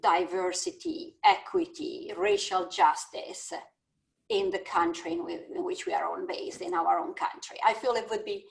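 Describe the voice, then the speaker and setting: A woman from Italy with an Italian accent, a business school dean speaking thoughtfully on a video-call panel, pausing often to find her words. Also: lowish voice, animated, vocal fry, low-quality recording. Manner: thoughtful, searching for words with many fillers. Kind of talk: panel discussion.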